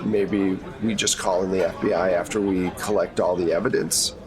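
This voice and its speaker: A man playing a businesslike female agency handler in a tabletop game, briefing agents in a measured, matter-of-fact way. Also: sits low, little pitch movement, crowd noise, pitched below the performer's own voice, lightly acted.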